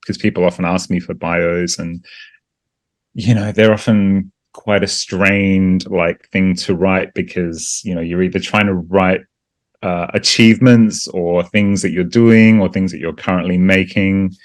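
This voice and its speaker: A man with a mild Australian accent talks as a guest, reflective and hesitant, circling his thoughts with fillers. His voice is very low, and the recording is dry and close. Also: steady tone, unhurried, dry recording. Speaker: guest musician. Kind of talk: casual interview chat.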